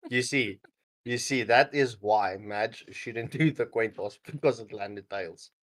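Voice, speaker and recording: A man's voice: lowish voice, measured pace, a bit bright. A man casting a mobile esports match, joking and laughing often between calls. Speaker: esports caster. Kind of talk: casual esports commentary.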